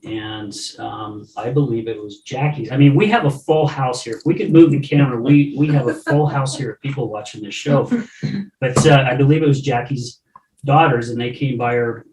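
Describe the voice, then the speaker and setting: A man's voice: mid-range voice, normal speed, darkish tone. Chatty man hosting a podcast, echoey room. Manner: enthusiastic, chatty, laughs often. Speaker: podcast host. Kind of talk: casual chat. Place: room with a live audience. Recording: roomy, from a distance, faint noise.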